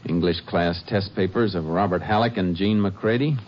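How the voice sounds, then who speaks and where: Guy acting a deep american texas ranger in old radio crime show, measured, authoritative, muffled old recording. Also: a little hiss or hum, close to an everyday voice. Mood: businesslike. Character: steady, no-nonsense Texas Ranger investigator, adult man. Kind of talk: 1950s radio crime drama acting and narration.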